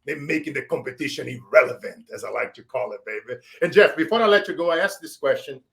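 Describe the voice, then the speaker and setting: A man in his forties with a Brazilian accent, hosting a show and giving emphatic motivational shout-outs. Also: mid-range voice, lively intonation, fast talker, gravelly. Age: 40s. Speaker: show host. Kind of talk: motivational interview wrap-up.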